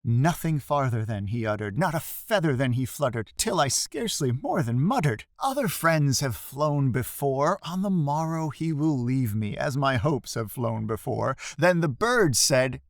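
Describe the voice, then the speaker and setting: A man giving a dramatic, expressive recitation of a classic poem, drawing out emphatic phrases for effect. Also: mid-range voice, a little slow, few pauses, dry recording, clean studio sound. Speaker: poetry reader.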